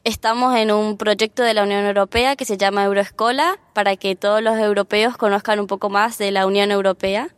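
Argentine teen girl, smooth clear voice. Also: medium pitch, fairly even intonation, fairly quick, few pauses, no room sound. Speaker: exchange student. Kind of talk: casual chat.

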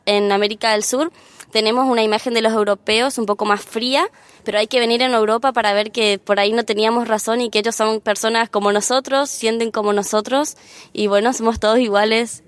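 A teenage exchange student from Argentina with an Argentine accent, chatting casually and warmly, sometimes restarting her sentences. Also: a little high, clear, resonant tone, no room sound. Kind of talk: casual chat.

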